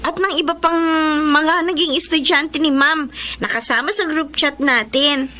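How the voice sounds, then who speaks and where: An actress with a Filipino accent playing a sweet, earnest young blind former student in a radio drama. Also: female voice, sits high, fairly even intonation, unhurried, pushed and bright. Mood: playful, eager.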